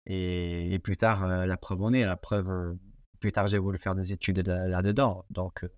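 A man's voice: low-pitched, level tone, moderate pace, darkish tone, no echo, dull audio. A young man from Portugal, whose first language is Portuguese, speaking French with a Portuguese accent as an interview guest, hesitant with many fillers and restarts. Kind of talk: casual interview conversation.

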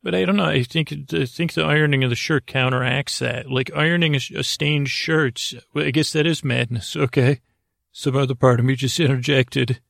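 Man, podcast host, gravelly fry, medium pitch, meandering bedtime monologue, halting self-interruptions. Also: steady tone, fairly bright tone, dry recording, studio quality. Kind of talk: rambling bedtime monologue to help listeners sleep.

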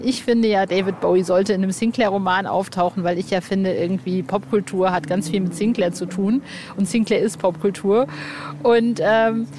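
A woman's voice: mid-range voice, a little hiss or hum. A woman hosting a podcast interview in a chatty, colloquial and effusive way. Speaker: podcast host.

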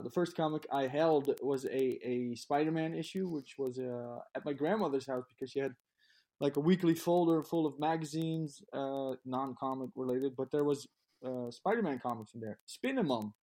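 A man from Europe, a guest recalling comics in a halting way with many restarts, laughing easily. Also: medium pitch, warm tone. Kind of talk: casual interview chat.

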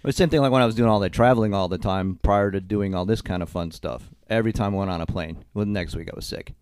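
A man co-hosting a podcast in a studio, telling a story in a lively way and laughing as he goes, a little sick and congested. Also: low-pitched, fast, a little hiss or hum. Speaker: co-host. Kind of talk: casual storytelling chat. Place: recording studio. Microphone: studio mic.

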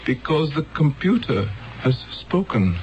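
An actor playing a grave, paternal elder of a peaceful future world in a 1970s radio drama, speaking solemnly and deliberately. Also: male voice, fairly high pitch, very animated. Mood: paternal, firm. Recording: dull lo-fi 1970s radio broadcast.

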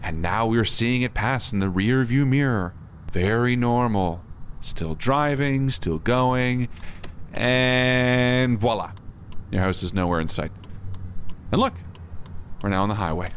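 A man's voice: medium pitch, slow pace, lightly acted. A man playing an easygoing, skeptical but supportive police officer in conversational audio drama dialogue. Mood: wry, teasing. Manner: wry, reassuring, conversational. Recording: clear car and road noise. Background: moving car ambience.

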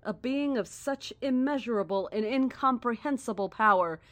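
A woman playing a serene but menacing female cult leader in a horror audio drama, speaking in a deliberate, formal, condescending and grandiose way. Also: on the high side, fairly bright tone, no echo, natural voice. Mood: reverent. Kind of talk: horror audio drama acting, live radio address.

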